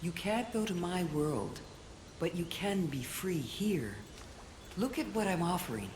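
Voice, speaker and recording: A woman voicing a serene female video game guardian who coaxes and pleads gently. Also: fairly low pitch, sing-song, measured pace, background noise. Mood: tender, pleading. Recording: echoing game voice under music.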